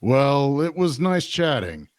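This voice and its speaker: Burly tavern keeper, halting, politely unimpressed, swinging pitch, very dry recording, fantasy audio drama. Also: male voice, big pitch swings, no room sound. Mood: awkward, nudging. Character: burly, no-nonsense pub keeper, a man, mildly impatient.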